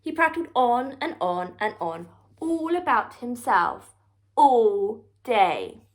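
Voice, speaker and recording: A woman storyteller reading a children's book aloud with expressive, theatrical narration and deliberate emphasis. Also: a little high.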